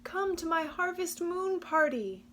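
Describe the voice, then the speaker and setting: A woman voicing an eager, hopeful male possum who calls out invitations in a high, excited voice. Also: slow pace, slight background noise. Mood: calling out. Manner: excited calling, pleading.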